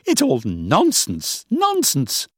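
A man voicing a sharp, skeptical older woman who lectures and scoffs, in an audiobook reading. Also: sits high, wildly swooping pitch, unhurried, dry recording, studio quality, pitched above the performer's own voice, heavily acted. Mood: scornful. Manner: scornful, lecturing, emphatic.

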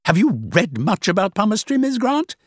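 Guy putting on a high sharp older lady, scoffing and lecturing, storybook reading, really swoopy. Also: wildly swooping pitch, measured pace, dry recording, clean studio sound, heavily acted. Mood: scornful. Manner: scornful, lecturing, emphatic. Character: sharp skeptical older woman, dismissive.

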